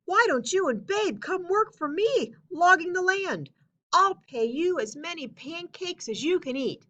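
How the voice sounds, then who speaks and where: A hearty, boastful lumber boss played by a woman reader from a tall-tale storybook. The voice is high, bright and swooping, with a loud, folksy holler. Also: animated, unhurried, muffled recording, heavily acted. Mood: eager. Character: hearty, boastful logging boss Brimstone Bill. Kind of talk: storybook read-aloud character voice.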